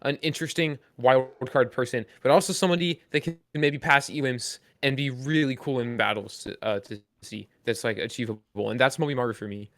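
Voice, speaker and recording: A man's voice: medium pitch, a little fast, few pauses, fairly bright tone, no echo, clean studio sound. A man co-hosting a podcast in casual, informal chat full of slang. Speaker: podcast co-host.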